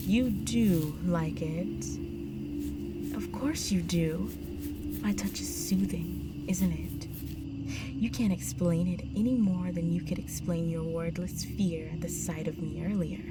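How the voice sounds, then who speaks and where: A woman voicing a seductive, possessive vampire countess in an intimate roleplay audio drama, slow and coaxing, often slipping into breathy whispers. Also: lowish voice, poor audio. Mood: teasing, coaxing. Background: music.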